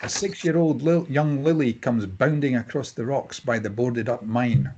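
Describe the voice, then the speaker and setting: A man's voice: mid-range voice, normal speed, darkish tone, dull audio. A man reading scripted stage directions as narrator at a table read.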